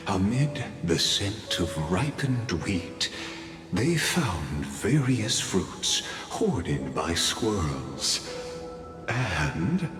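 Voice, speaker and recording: A man playing a calm, solemn narrator reading scripted storybook narration in a fantasy game. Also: deep voice, full character voice. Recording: echoey, with music behind.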